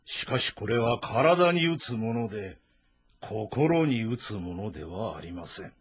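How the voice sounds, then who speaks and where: A male actor playing a blunt, calm physician of ancient China, speaking formally and deferentially in an audio drama. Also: lowish voice, husky, heavily acted. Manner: measured, deferential, matter-of-fact. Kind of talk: audio drama dialogue in formal, old-fashioned speech. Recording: voice over background music.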